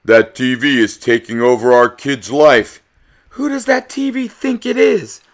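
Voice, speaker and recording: A man's voice: medium pitch, lively intonation, measured pace, creaky, voice pushed up. Man acting an indignant, swooping storybook parent. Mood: outraged. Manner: indignant, emphatic. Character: outraged, exasperated parents of a young boy in a storybook.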